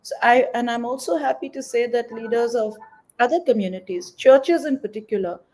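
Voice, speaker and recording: A middle-aged or older woman answering interview questions as an activist, measured, articulate and explanatory. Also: on the high side, darkish tone. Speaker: environmental activist, interview guest.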